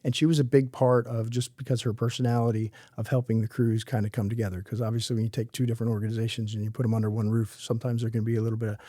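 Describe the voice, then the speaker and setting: A man's voice: medium pitch, flat delivery, racing, few pauses, mellow, dry recording, clean studio sound. A middle-aged man hosting a podcast interview as a fire chief, asking questions in a conversational, upbeat way.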